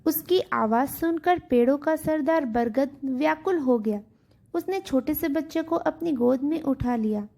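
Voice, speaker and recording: A woman's voice: high-pitched, a little slow, vocal fry, mellow. A woman telling a scripted children's story as a storybook narrator.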